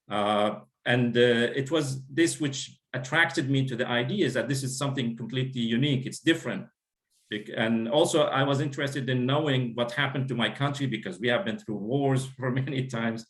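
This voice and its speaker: A man's voice: mid-range voice, fairly bright tone. Man from Iraq, echoey room. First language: Arabic. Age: 50s. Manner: measured, halting with frequent fillers. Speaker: guest. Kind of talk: interview.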